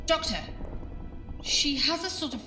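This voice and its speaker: A woman's voice: high voice, lively intonation, unhurried, sharp tone, heavily acted. A woman playing a plucky, inquisitive young female companion in an audio drama, earnest and easily alarmed. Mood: curious, amazed. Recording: echoey, distant, with music underneath.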